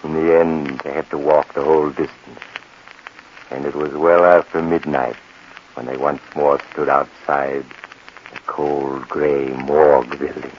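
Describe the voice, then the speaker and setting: A man hosting and narrating a scripted 1940s radio mystery in an ominous, measured storytelling style. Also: extremely low pitch, level tone. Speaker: host and narrator. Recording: muffled, noisy vintage radio recording.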